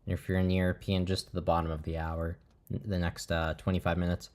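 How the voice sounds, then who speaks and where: Male livestream host, lower-pitched voice, informal live commentary, measured and a little halting. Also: level tone, darkish tone.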